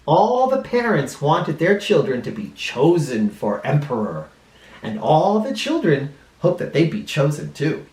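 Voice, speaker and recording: A male teacher reading a picture book aloud to children expressively, pausing dramatically and explaining words in simple terms. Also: fairly high pitch, huge pitch swings, a little mellow. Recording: roomy, echoing room sound.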